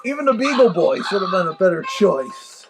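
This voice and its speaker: A man's voice: sits high, sing-song, fairly quick. A man reviewing as host, giving casual running commentary. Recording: commentary over played music.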